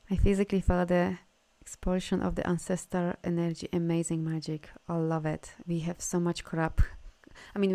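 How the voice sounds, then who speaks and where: Effusive woman, smooth voice. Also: fairly low pitch, a little mellow. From Poland. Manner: effusive, gushing praise and frequent laughter. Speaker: energy healer hosting a live session. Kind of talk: casual spiritual live stream.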